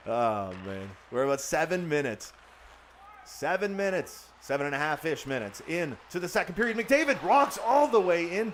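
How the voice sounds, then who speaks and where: Excitable man calling a hockey video game. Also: on the high side, slight background noise. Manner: excitable, jokey, loud bursts on big plays. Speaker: gaming streamer doing play-by-play. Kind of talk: live-stream game commentary and chat reading. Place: home gaming setup.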